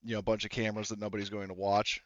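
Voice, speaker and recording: Male game master, low smooth voice, halting explanatory delivery, close dry but slightly dull recording. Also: flat delivery, no room sound. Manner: halting, explanatory, circles back and restarts sentences. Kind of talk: casual improvised tabletop game narration.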